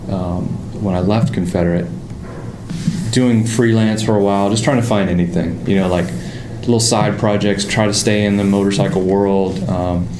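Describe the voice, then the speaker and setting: A man with a Pittsburgh accent and a very low voice tells his story in a loose, rambling way, full of ums and restarts. The room is roomy and echoey, and a steady background noise sits under his voice. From Pittsburgh area, United States. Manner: relaxed storytelling with many fillers and restarts. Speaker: motorcycle designer, guest. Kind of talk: casual interview conversation.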